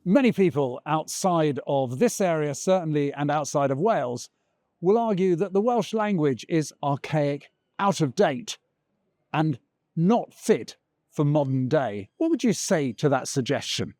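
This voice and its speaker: A man's voice: a little high, animated, unhurried, mellow, no room sound, studio quality. A man presenting a news feature, narrating and interviewing on location. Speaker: reporter and presenter. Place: outdoors at a castle.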